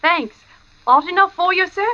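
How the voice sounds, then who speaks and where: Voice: very high-pitched, normal speed, pushed and bright. A performer playing a small, polite boy in a 1940s radio drama. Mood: chirpy. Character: small boy politely asking a stranger the time. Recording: muffled vintage radio recording.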